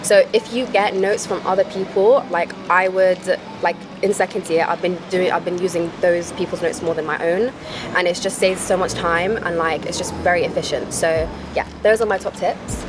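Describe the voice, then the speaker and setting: A woman's voice: lowish voice, steady tone, moderate pace, noisy background. A young woman, a medical student, giving study tips in a casual, chatty way. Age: young adult. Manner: chatty, many "like" fillers. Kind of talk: casual interview answer.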